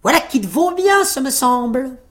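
A woman's voice: sits high, sing-song, moderate pace, pitched above the performer's own voice. A woman voicing a boastful, plucky little male tailor in a fairy tale, cocky and full of exclamations. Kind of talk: fairy-tale storybook acting.

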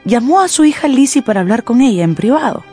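A woman narrator giving expressive, scripted storytelling for a Spanish-language radio drama. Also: fairly high pitch, quick pace, soft background music.

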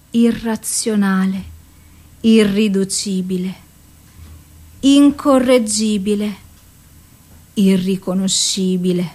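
A broken despairing woman patient, radio play monologue, drawn out words w long pauses. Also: medium pitch, darkish tone. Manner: incantatory list of single words, drawn out with pauses. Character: broken, despairing psychiatric patient woman reciting her torment. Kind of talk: theatrical radio drama monologue.

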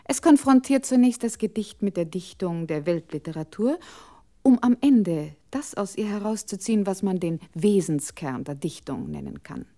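Woman acting as formal, mellow-voiced female computer control. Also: mid-range voice, expressive, normal speed, lightly acted. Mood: formal, explanatory. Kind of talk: scripted 1960s radio play narration.

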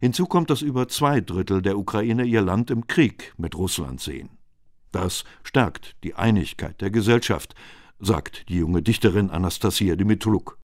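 A man reading scripted documentary narration in a measured, even way. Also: fairly low pitch, no echo, clean studio sound.

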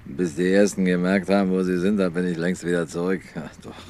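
An actor playing a rough, callous and sly seaman in a 1950s radio drama, talking in a colloquial mutter. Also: deep voice, flat delivery, fairly quick, darkish tone, close to an everyday voice. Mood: sly, complicit. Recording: noisy 1950s radio recording.

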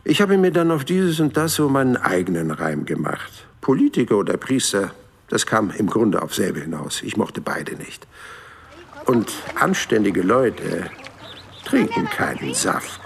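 Gravelly old storytelling adventurer. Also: male voice, on the low side, wildly swooping pitch, a little hiss or hum, full character voice. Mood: reflective. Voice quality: gravelly, creaky. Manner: wry, unhurried, colloquial storytelling. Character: easygoing hundred-year-old Swedish man reminiscing about his adventures. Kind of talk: first-person audio drama narration.

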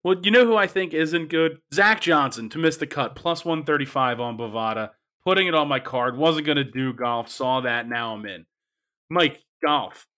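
Bright-voiced man hosting a betting show. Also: a little high, moderate pace. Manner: upbeat, stammering restarts, laughs often. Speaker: host of a sports betting show. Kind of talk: casual panel chat.

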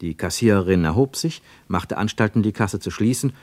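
A man narrating a scripted radio drama in a measured, literary and dramatic manner. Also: lowish voice, darkish tone, no room sound.

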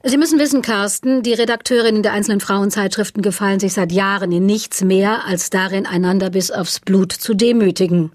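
A woman's voice: medium pitch, steady tone, a little fast, few pauses, no echo, lightly acted. Actress as a dry, wry woman author, close-sounding. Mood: confiding, wry. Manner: dry, articulate, formal wording. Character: cool, wry, self-justifying woman author being interviewed. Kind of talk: radio satire dialogue.

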